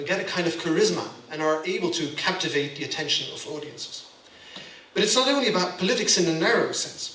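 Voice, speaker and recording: A man's voice: a little high, bright, forceful tone, distant mic. A man with a Belgian accent gives a gallery lecture, speaking emphatically and checking in with the audience. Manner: emphatic, keeps asking "yeah?" to the audience. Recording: echoey room, voice off-mic.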